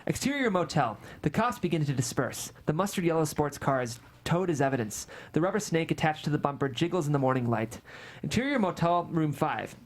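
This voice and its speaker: A woman's voice: sits low, sing-song, fairly quick. A woman reading screenplay stage directions and scene headings as narrator at a live table read.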